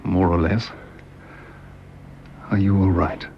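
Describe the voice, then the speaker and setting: An actor playing an earnest, troubled, well-bred British son in a radio drama. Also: male voice, low voice, fairly even intonation, slow. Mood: concerned, urgent. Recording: dull, noisy radio drama recording.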